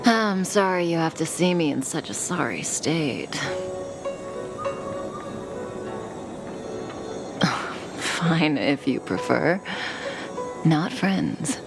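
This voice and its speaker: An actress playing a composed, enigmatic young woman outlaw in a video game, unhurried and coolly teasing with soft phrase endings. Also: deep voice, big pitch swings, heavily acted. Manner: unhurried, deliberate, coyly knowing. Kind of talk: video game character dialogue. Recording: studio voice over game music.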